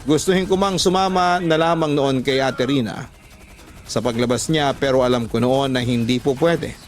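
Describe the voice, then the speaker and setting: A man with a Filipino accent narrating a scripted story as a radio drama host, in an emotive, deliberate storytelling delivery. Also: medium pitch. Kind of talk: scripted dramatic narration of letter-sender stories. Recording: voice over background music. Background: music.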